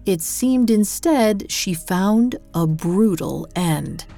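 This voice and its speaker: A woman hosting a podcast, reading scripted true-crime narration in a measured, dramatic storytelling manner. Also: mid-range voice, animated, gravelly, warm tone. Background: music.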